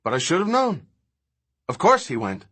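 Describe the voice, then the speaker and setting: A man's voice: a little high, huge pitch swings, moderate pace, fairly bright tone, slightly rough, pitched above the performer's own voice, full character voice. A man voicing an intense, opinionated scientist in an audiobook, lecturing impatiently with emphatic, rhetorical phrasing. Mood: agitated, scornful.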